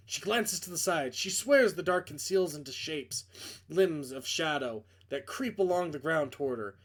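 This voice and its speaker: Male narrator, fairly high voice, dramatic reading of visual novel prose. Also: animated, normal speed.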